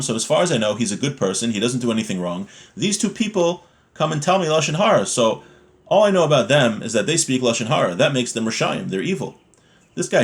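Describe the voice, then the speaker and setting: Man, religious teacher, informal lesson, reads text then explains, question-and-answer delivery, medium pitch. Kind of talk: informal lesson, reading Hebrew text and explaining it in plain words.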